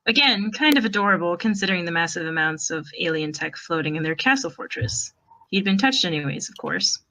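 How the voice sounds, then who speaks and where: A woman's voice: lowish voice, animated, a little fast, pushed and bright, rough recording. A woman reading fan fiction aloud as an amateur narrator, in a wry, informal storytelling manner.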